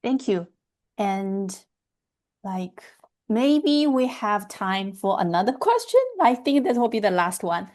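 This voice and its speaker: A woman's voice: medium pitch, animated, takes it slowly, a little mellow. A woman moderating a webinar, putting questions to a presenter in a question and answer session.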